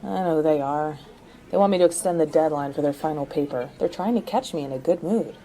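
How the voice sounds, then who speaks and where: An actress playing a skeptical, exasperated young college teacher, blunt and sarcastic in casual audio drama banter. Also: female voice, low-pitched, fairly quick, warm tone, poor audio.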